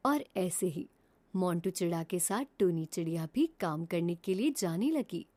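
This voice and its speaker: A woman narrating a children's cartoon moral story in a lively storytelling way. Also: fairly low pitch, a little slow.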